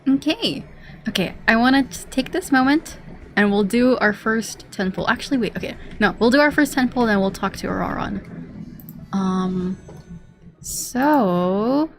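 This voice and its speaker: A woman's voice: a little high, animated, measured pace, faint music behind. A woman game streamer giving casual gameplay commentary, rambling and repeating herself as she thinks aloud. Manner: rambling, repetitive self-talk.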